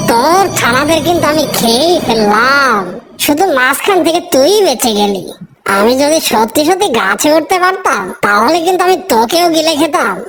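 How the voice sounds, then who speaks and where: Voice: high-pitched, vocal fry, a bit bright, heavily acted, poor audio. An actor voicing a sly, greedy, bullying fox in a children's cartoon, with a taunting, threatening manner. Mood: gloating, taunting. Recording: music behind the voice.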